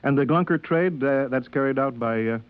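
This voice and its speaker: An actor playing a smooth, self-important, faintly sinister American man in 1950s radio drama. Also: medium pitch, close to an everyday voice. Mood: genial, probing. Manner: hesitant pauses with "uh", then insistent, pronouncing. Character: smooth, self-important, sinister male passenger, a collector of voices. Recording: muffled old radio broadcast recording.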